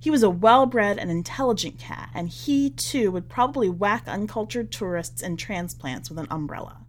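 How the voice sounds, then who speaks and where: A woman narrates fiction in an expressive, scripted style. Her medium-pitched voice moves in lively, wide swings. She places emphasis deliberately, with a wry storyteller's touch. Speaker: podcast host and story narrator.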